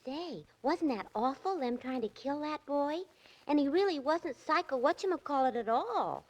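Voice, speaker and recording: A woman's voice: high-pitched, lively intonation, normal speed. An actress playing a scatterbrained, chatty young secretary who muddles her words, in 1940s radio comedy style. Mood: ditzy, curious.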